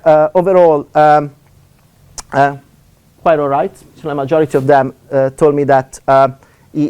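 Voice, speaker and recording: Fast-talking Italian-accented male lecturer. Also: a little high, smooth. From Italy. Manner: fast, animated, lots of fillers and restarts. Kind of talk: seminar talk on assessment.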